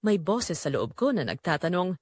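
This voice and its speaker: Filipino woman narrating drama, expressive swings in pitch. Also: moderate pace, breathy edge, no room sound. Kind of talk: scripted first-person dramatic narration.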